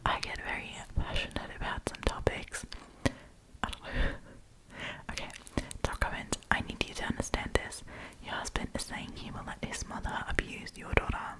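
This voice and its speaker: A woman speaks entirely in a breathy, unvoiced whisper, in the style of an ASMR storytime host. She is casual and opinionated, chatting informally and sometimes swearing as she reads and reacts. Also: unhurried, poor audio. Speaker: host reading Reddit stories.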